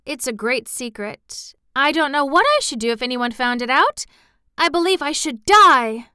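A woman's voice: high voice, big pitch swings, normal speed, pushed and bright, dry recording, studio quality, voice pushed up, heavily acted. A woman voicing a shy, earnest young girl with proper, careful speech in a storybook reading. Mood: anxious, intense.

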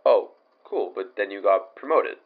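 A man's voice: on the low side, lively intonation, moderate pace. Hesitant new guy, tinny tape. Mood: unsure, curious. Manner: hesitant, awkward, eager. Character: unsure, inquisitive young male new employee. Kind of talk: naturalistic audio drama acting.

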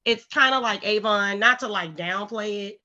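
A woman from St. Louis, guest on a podcast, talking casually and bluntly with a raspy, congested voice. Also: mid-range voice, normal speed. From St. Louis, USA. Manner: blunt, profane, animated storytelling. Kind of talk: casual, candid podcast chat. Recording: slightly dull, muffled audio.

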